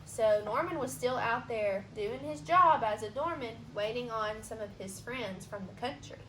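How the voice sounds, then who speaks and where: A woman reading a children's storybook aloud expressively, pausing for asides and questions to young listeners. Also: on the high side. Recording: roomy echo, noticeable background hiss.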